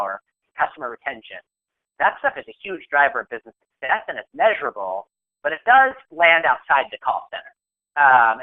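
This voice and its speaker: Nasal congested man on a phone line. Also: medium pitch. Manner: conversational, many fillers and restarts. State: has a cold, congested. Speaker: webinar presenter. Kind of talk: business webinar presentation. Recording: telephone-band webinar audio.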